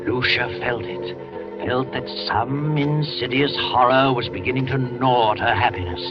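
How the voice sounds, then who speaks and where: A man hosting and narrating a 1940s radio drama in a measured, ominous, theatrical way. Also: medium pitch. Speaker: host and narrator. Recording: dull old radio broadcast with music.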